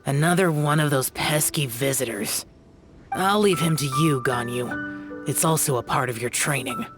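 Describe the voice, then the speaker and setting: A man's voice: mid-range voice, normal speed, music in the background, close to an everyday voice. A man voicing a stern, aloof young male immortal mentor in a video game, curt and cool. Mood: weary, dismissive.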